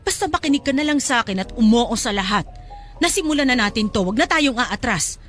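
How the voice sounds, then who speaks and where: A woman's voice: a little high, animated, brisk, a bit bright, soft background music. A woman playing a domineering mother in a radio drama, nagging and cutting in her delivery. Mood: stern, pressuring. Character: domineering, scolding mother pushing daughter toward money. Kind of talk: Tagalog radio drama acting.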